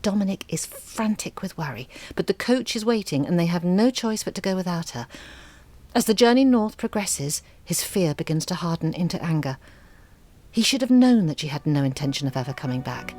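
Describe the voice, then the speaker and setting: A woman playing a thoughtful, candid writer in an audio drama, speaking in an intimate, reflective and expressive monologue. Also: fairly low pitch, brisk, no echo. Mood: storytelling.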